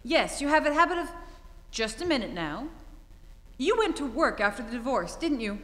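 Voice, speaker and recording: A woman playing a haughty, sharp-tongued young society bride in a staged vintage radio comedy. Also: high voice, very animated, normal speed. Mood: suspicious. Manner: imperious, indignant, withering. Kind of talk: staged 1940s-style radio comedy acting. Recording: echoey theatre hall recording.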